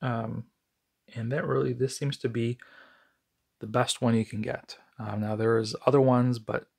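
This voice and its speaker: An American man giving a casual, unscripted product review in a conversational, somewhat halting way, with a gravelly, husky voice. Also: medium pitch, flat delivery, darkish tone.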